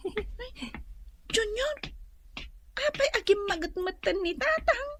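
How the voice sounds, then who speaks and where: Casting: innocent young daughter, Ilocano accent, very high childlike voice, unhurried, radio drama. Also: female voice, lively intonation, fairly bright tone, full character voice. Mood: curious. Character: little girl, innocent, curious daughter.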